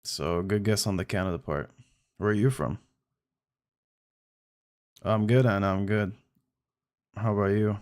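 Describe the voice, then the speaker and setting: Chatty male streamer, lowish voice. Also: steady tone, measured pace, pauses often, a little mellow. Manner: friendly, chatty, addresses viewers directly. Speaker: game streamer. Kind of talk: casual live-stream chat.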